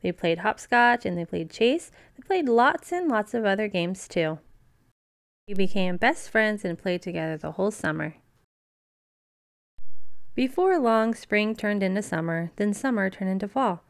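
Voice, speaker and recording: A woman narrating a children's storybook in an expressive, scripted read-aloud style. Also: mid-range voice, measured pace.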